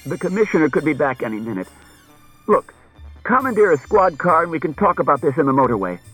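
A man's voice: on the high side, very animated, fairly quick, breathy edge, faint music behind, voice pushed up. Man acting a tense, raised-voice harried detective. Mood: urgent. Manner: tense, urgent, exasperated. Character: harried, anguished male police detective, protective husband. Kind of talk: dramatized audiobook dialogue.

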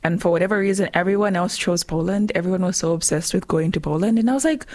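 A woman's voice: fairly low pitch, fast talker, a little mellow. A young woman in her twenties from Zambia, chatting as a radio guest, laughing often and acting out her own past thoughts. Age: 20s. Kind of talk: casual interview chat.

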